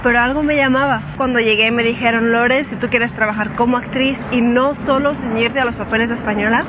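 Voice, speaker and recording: A young actress from Catalonia speaking with an adopted Mexican accent in a relaxed outdoor interview, laughing between sentences. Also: female voice, a little high, brisk. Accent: Spanish speaker using a Mexican accent. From Catalonia, Spain. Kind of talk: casual interview. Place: outdoors in a city park. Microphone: lapel mic. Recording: outdoor street noise behind.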